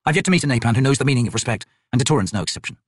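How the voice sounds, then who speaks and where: Young noble-born captain, read by a male narrator, formal, restrained, slightly husky, fantasy audiobook. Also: medium pitch, animated, very fast, no room sound, studio quality. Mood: clipped.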